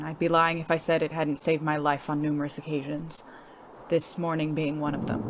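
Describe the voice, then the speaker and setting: A woman's voice: low-pitched, slight background noise, natural voice. A woman playing a cool, secretive spy who lives as a man in a noir audio drama, formal and wry.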